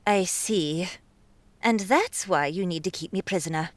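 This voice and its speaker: An actress playing a bold, imperious princess in an audiobook drama, brash and theatrical, with flowery, bossy delivery. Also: female voice, fairly low pitch, unhurried, no echo, close to an everyday voice. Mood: thoughtful.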